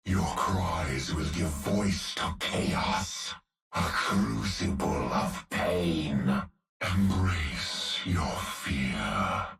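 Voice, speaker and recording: An actor voicing a cruel, menacing male cyborg executioner, with a deep gravelly voice muffled as if through a gas mask, delivered slowly and subdued. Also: animated, fairly bright tone, faint music behind, heavily acted. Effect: muffled mask filter. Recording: echoey game audio played back from a distance.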